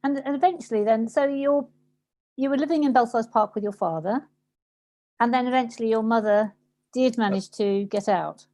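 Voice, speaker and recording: Lady interviewer, highish mellow voice, chatty but tentative, rewords stuff as she goes. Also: moderate pace. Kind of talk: conversational interview.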